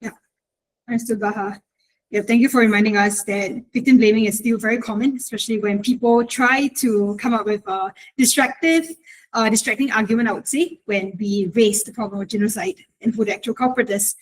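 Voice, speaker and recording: A woman's voice: on the high side, fairly even intonation, fairly quick, poor audio. A woman hosting a live interview, speaking in a thoughtful, attentive, conversational way. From Singapore. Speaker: host, interviewer.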